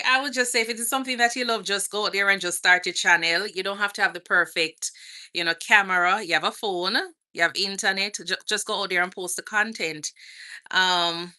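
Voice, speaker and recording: Jamaican woman hosting a live chat outdoors, lowish pitch, bright tone, friendly and chatty. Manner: chatty, greets viewers by name. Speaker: vlogger and nurse. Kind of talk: casual livestream Q&A.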